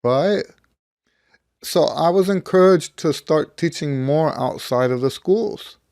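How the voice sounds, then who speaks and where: Slightly hoarse middle-aged American man, dry recording. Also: on the high side, slow pace, a little mellow, no echo. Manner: rambling, conversational, thinking aloud. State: slightly hoarse, getting over losing his voice. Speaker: trumpet teacher. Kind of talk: casual talk to camera, personal anecdote.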